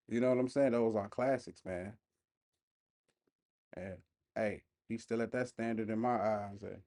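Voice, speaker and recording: A man's voice: mid-range voice, dark tone. A man giving casual, off-the-cuff reaction commentary, speaking in emphatic slang straight to his viewers. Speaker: reaction video host.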